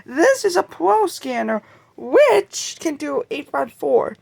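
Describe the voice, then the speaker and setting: Someone casually showing off a collection in a home-made vlog, talking in a halting, rambling way. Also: sits high, very animated, creaky, darkish tone. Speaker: video creator showing a radio collection. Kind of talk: casual show-and-tell vlog.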